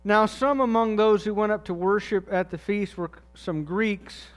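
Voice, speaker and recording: High-voiced man preaching conversationally. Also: fairly even intonation, moderate pace, clean tone, faint music behind. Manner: conversational preaching, drops low and soft on key phrases. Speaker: pastor. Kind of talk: sermon.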